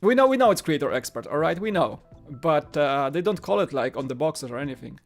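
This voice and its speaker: A man in his thirties whose first language is Polish chats casually as a livestream host. His voice sits a little high for a man, and he rambles, circling back to repeat short phrases. Music plays behind him. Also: very animated, fairly quick, creaky. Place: small home studio room. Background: background music.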